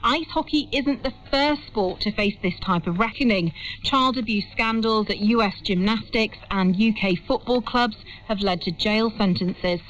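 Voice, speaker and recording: A woman's voice: medium pitch, moderate pace, a bit bright. A woman reporter reading a scripted news report, heard over shortwave radio. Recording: dull shortwave radio broadcast.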